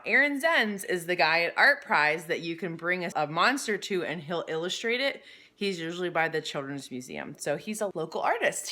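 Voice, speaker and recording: A woman reading a picture book aloud for children in an expressive storytelling style, speaking directly and simply to young listeners with dramatic emphasis. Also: lowish voice. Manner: dramatic emphasis, acts out sound words. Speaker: children's read-aloud reader.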